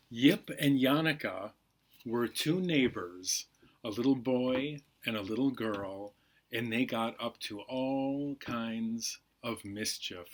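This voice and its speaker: A man with a medium-pitched voice hosts a bedtime storytime for children. He speaks slowly, with deliberate, unhurried phrasing, as a storyteller does when reading aloud. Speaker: library storytime host.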